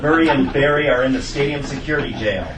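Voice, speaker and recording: A man's voice: mid-range voice, fast. A man reading scripted stage directions as narrator at a comedy table read. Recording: echoey room, distant mic, loud noise.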